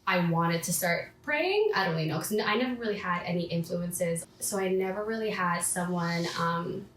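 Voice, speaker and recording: Woman, 20s, casual storytelling, acts out her own inner voice, lowish pitch, echoey room. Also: animated, normal speed, a bit bright. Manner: chatty, acts out her own past self-talk. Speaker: podcast guest. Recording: echoey room with faint background hiss.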